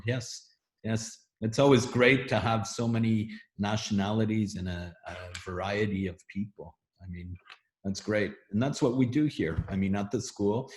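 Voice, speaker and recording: Low-voiced man from Montreal, roomy sound. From Montreal, Canada. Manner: relaxed and upbeat, with some fillers. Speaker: program manager. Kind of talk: casual webinar conversation. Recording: roomy echo on a video call.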